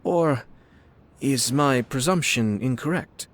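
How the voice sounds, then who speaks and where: An actor playing a courteous, composed young noble clan lord in a video game, speaking formally and in measured phrases. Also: male voice, mid-range voice, a little hiss or hum, close to an everyday voice. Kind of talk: game voice acting, elegant dialogue. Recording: music playing behind.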